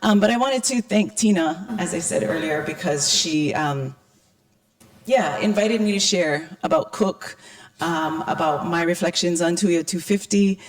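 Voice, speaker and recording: Croaky woman giving a public talk. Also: lowish voice, unhurried. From Hawaii. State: croaky, hoarse voice. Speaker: invited panel speaker.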